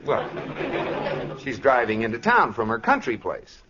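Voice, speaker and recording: An actor playing an amiable, put-upon husband with a dry manner in a 1940s radio comedy. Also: male voice, medium pitch, big pitch swings, a little slow, noisy background. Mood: defensive, cheerful. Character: amiable, exasperated husband, dryly patient. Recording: dull old radio recording with studio audience.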